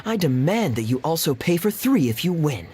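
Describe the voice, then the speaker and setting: A man's voice: on the high side, big pitch swings, moderate pace, darkish tone. An actor playing a confident, challenging young man in a video game, breezy and assertive, with an assured, articulate manner. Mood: indignant, challenging. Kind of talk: acted video game dialogue.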